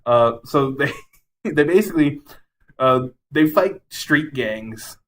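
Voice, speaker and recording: A man's voice: medium pitch, expressive, takes it slowly. A man co-hosting a podcast, casually and enthusiastically rambling through his favorite game movies with some swearing. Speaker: podcast co-host.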